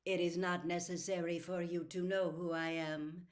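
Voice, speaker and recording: A woman's voice: fairly low pitch, flat delivery, normal speed, close to an everyday voice. Woman as a cold, imperious male villain. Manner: formal, imperious, dismissive. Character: cold, aloof red-eyed male villain, controlling mastermind. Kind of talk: audiobook character voice.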